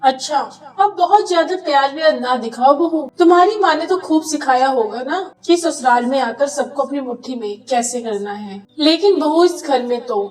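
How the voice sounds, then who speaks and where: A woman's voice: high-pitched, normal speed, hardly any pauses, darkish tone, recorded from a distance, soft background music, lightly acted, poor audio. A woman voicing a suspicious, sarcastic middle-aged mother-in-law who scolds in a cartoon story.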